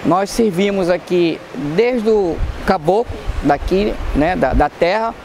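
A middle-aged man chef chats about his restaurant and gestures as he talks. His voice is high for a man. He is recorded outdoors on a lapel mic, with faint background noise. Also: slow, a little mellow. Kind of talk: on-camera interview. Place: outdoor square by a market.

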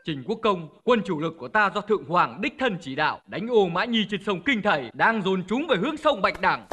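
An actor playing a stern, dignified general in an animated historical film, measured and authoritative. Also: male voice, sits high, slightly rough, heavily acted. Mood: solemn.

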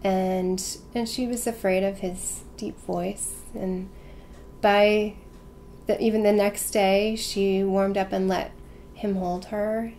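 A woman in her thirties talks reflectively in a documentary interview. Her pitch is mid and stays very flat, and she sounds a little away from the mic in an echoey room. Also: slow. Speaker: adoptive parent, interviewee. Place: room at home, indoors. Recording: echoey room, distant mic, faint noise.

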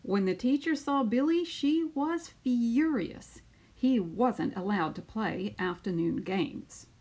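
A woman in her fifties, a teacher, speaking to pupils and reading a children's picture book aloud with deliberate, emphatic phrasing. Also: a little high, animated, darkish tone. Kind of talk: children's storybook read-aloud.

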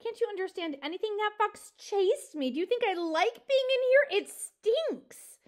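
A woman's voice: high voice, sing-song, a little slow. A woman voicing a timid, polite young female deer mouse in a storybook, earnest and pleading, with a faint, faltering manner. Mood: exasperated. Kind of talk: storybook character reading.

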